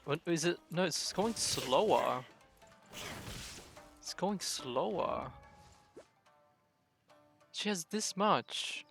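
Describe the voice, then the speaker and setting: A man's voice: a little high, animated, very slow, vocal fry, long pauses, a bit bright. A man giving casual live commentary as he streams a game, reacting in short muttered remarks. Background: game music.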